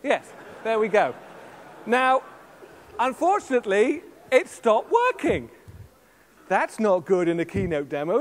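A middle-aged man giving a lively, joking live demo as a keynote presenter on a large conference stage. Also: very high-pitched. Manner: lively, jokey showman with sound effects. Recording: long hall reverb.

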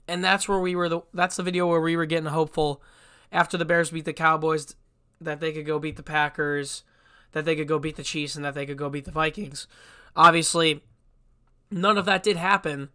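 A man's voice: on the high side, sharp tone, clear, resonant tone. A young man with a stuffy, congested voice from a cold, hosting a casual solo sports podcast and talking bluntly with frequent restarts. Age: young adult. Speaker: podcast host.

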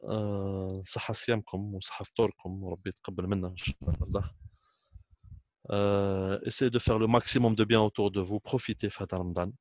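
A man's voice: on the low side, fairly even intonation, racing, darkish tone, no echo. A man in his thirties with an Algerian accent, talking informally as a trainer, emphatic and repetitive, switching between languages. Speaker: trainer speaking to students. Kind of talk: informal talk to camera, switching between French and Arabic.

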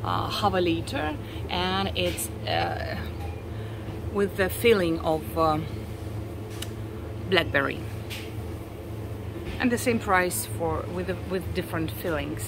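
A Russian woman with a Russian accent, talking casually as a vlogger while she walks through a grocery store, halting often with "uh" and restarts. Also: lowish voice. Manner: unhurried, halting, frequent uh and restarts. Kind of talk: casual shopping vlog walk-through. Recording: clear store background noise.

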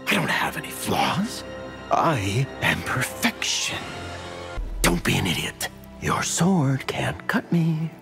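An actor voicing a narcissistic, menacing caped superhero in a video game, with mocking, condescending sarcasm. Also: male voice, mid-range voice, huge pitch swings, slow, creaky, bright, forceful tone, faint music behind, exaggerated voice. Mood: arrogant. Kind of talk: video game fight taunts.

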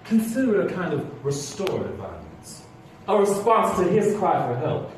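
A man's voice: high voice, wildly swooping pitch, moderate pace. A man acting a bitter, wronged young former police officer in a live stage play, insistent and aggrieved. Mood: grim, urgent. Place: theatre stage with rows of seats. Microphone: distant camera. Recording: echoey theatre stage from far off, noisy.

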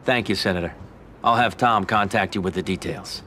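Composed young mobster, lowish voice, firm, businesslike, video game cutscene, faint background noise. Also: male voice, a little fast, bright, forceful tone. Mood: smooth, assured. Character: ambitious, composed young male mobster, smooth negotiator. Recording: game audio with background ambience.

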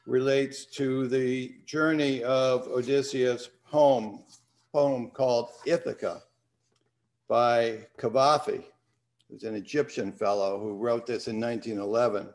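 Older guy on a video call from his study, slow and thoughtful, middle-pitched voice. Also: darkish tone. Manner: unhurried, reflective. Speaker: host. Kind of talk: casual talk and poetry reading. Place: home study with bookshelves. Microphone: video call webcam.

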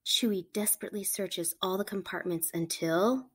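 A woman reading a picture book aloud to children, with animated, emphatic storytelling delivery. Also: medium pitch, moderate pace, a little hiss or hum. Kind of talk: expressive read-aloud narration for children.

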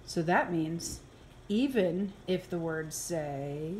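A woman reading a children's picture book aloud in an expressive, playful way, with exaggerated reactions. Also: on the low side, slow pace, slight background noise. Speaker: early childhood program coordinator reading aloud. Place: indoors, filmed over an open book.

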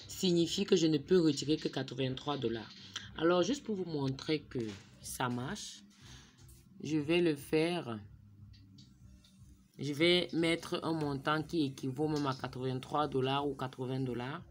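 Low-voiced woman narrating a screen tutorial. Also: a little hiss or hum. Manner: thinks aloud while typing numbers, drops to a murmur. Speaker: vlogger.

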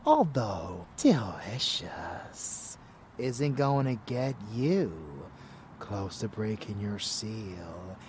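A man's voice: on the low side, very animated, extremely slow, a little hiss or hum, exaggerated voice. A man playing a murderous, doting vampire lover in an intimate ASMR audio roleplay, drawling and often dropping into whispers. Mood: sinister, coaxing. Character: rebellious murderous male vampire lover, doting yet bloodthirsty.

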